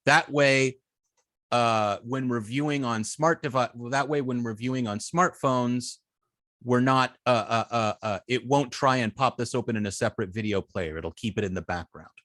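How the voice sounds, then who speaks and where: A man with a mid-range voice teaches web coding step by step. His phrasing is halting, full of ums and restarted sentences. The sound is dry and close to the microphone. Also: medium pitch, fairly bright tone, dry recording. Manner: halting, hesitant phrasing with frequent ums and self-corrections. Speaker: web development instructor. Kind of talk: live coding tutorial, explaining step by step.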